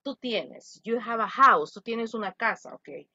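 A woman's voice: a little high, expressive, normal speed, gravelly, bright, forceful tone. A woman teaching English online, switching between Spanish and English as she encourages her students. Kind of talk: online class, coaching students. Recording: dull lo-fi audio.

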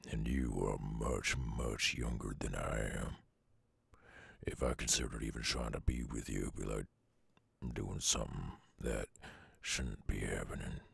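A man playing a weary, centuries-old werewolf with a gruff Southern drawl, halting and tender in an intimate ASMR roleplay. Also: extremely low pitch, a bit bright, no echo, exaggerated voice. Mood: gruff, resigned. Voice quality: very gravelly, husky growl. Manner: halting, brooding drawl with long pauses. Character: gruff weary three-hundred-year-old male werewolf, guarded yet tender.

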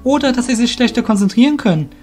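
Man voicing a high, youthful shadow apprentice. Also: lively intonation, fast, darkish tone, faint music behind. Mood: earnest, eager. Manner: earnest, polite. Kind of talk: amateur fantasy audio drama acting.

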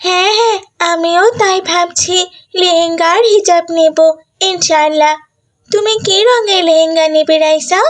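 A woman's voice: extremely high pitch, fairly even intonation, moderate pace. A woman voicing a kind, pious young schoolgirl in a children's cartoon, speaking earnestly and politely. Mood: friendly. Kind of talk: animated children's cartoon dialogue.

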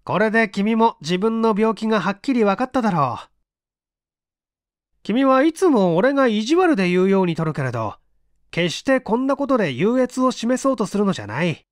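A superior, chiding male friend from a storybook reading, acted by a male narrator. The voice sits high and moves animatedly. He lectures emphatically, in a very dry, close recording. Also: sing-song, normal speed, no echo. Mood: earnest chiding.

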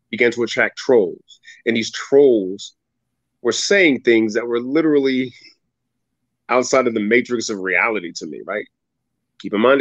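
Animated storytelling man on a video call. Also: medium pitch, dry recording. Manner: animated, dramatic storyteller who acts out quoted voices. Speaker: guest speaker. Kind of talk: storytelling interview answer. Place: home room with ceiling fan, indoors. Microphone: video call webcam. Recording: dull, dry video call audio.

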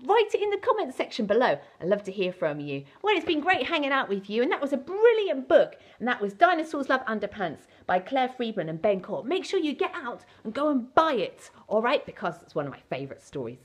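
A woman's voice: a little high, very animated, brisk, hardly any pauses. A middle-aged woman reading a children's storybook as an entertainer, with a playful, exaggerated, theatrical delivery. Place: small indoor set with fabric backdrop.

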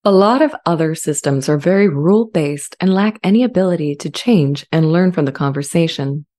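A friendly, self-aware artificial intelligence voiced by a woman in a scripted dramatization. The voice sits low and is soft-edged and mellow. Delivery is measured, articulate and earnest, close on a studio mic. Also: expressive. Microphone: studio condenser mic with pop filter, close.

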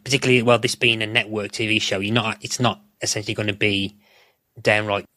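A man with a fairly low but bright, forward voice talks casually as a podcast guest. He feels his way haltingly through sentences, and the sound is dry and close. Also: flat delivery, dry recording. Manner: halting, repeats words while thinking. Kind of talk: casual chat.

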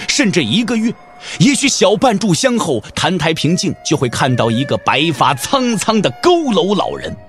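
A man narrating a Mandarin audio drama as a scripted story, theatrical and intense. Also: mid-range voice.

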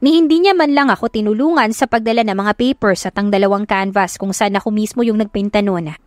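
A woman's voice: mid-range voice, a little fast, darkish tone, no echo. Woman narrating a romance audiobook, dry recording. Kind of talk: scripted first-person romance narration.

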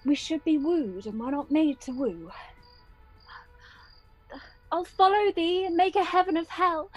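A woman's voice: extremely high pitch, unhurried, a little mellow, no echo, soft background music, poor audio. A woman playing a lovesick, doggedly devoted young woman in a Shakespearean radio play, pleading ardently. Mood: indignant, resolute.

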